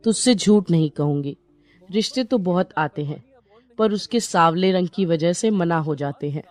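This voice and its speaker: A woman voicing a worried, homely mother in an animated moral story, speaking earnestly. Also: on the low side, normal speed, lightly acted. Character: worried, homely mother seeking a match for her daughter.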